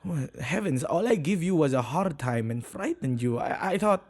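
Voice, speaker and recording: A man's voice: fairly high pitch, animated. A man playing a shy, awkward, kind-hearted witch who tends ghosts, speaking hesitantly in an ASMR roleplay. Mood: hesitant, surprised. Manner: hesitant, halting, stammering. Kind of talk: ASMR boyfriend roleplay, acted monologue.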